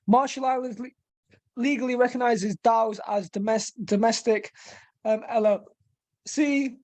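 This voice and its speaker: A woman hosting a casual webinar, reading through a quick news roundup and often repeating words while she thinks. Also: on the high side, darkish tone, no echo. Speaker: host. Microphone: video call mic.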